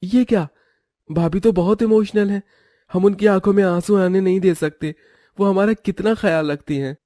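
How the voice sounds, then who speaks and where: A man's voice: high voice, moderate pace, mellow, no echo, clean studio sound, natural voice. A man voicing an affectionate, eager young brother-in-law in a cartoon, earnest and caring. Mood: concerned.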